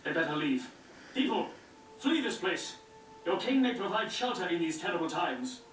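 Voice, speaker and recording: High-pitched, barking soldier. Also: male voice, sing-song, normal speed, fairly bright tone. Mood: commanding. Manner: commanding, blunt. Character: brusque, barking soldiers and guards. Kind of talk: video game cutscene acting. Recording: roomy distant game playback, faint music.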